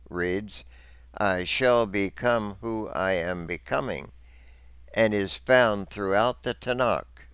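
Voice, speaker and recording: A man with a low, resonant voice narrates religious teaching from a script. His delivery is slow and deliberate, with a formal, didactic manner. The sound is clean and smooth. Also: a bit bright, dry recording.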